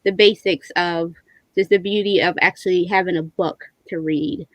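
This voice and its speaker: A woman's voice: fairly low pitch, fairly even intonation, moderate pace, no echo. A woman hosting a casual conversational interview, speaking in an encouraging, affirming way. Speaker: interviewer hosting an online read-along.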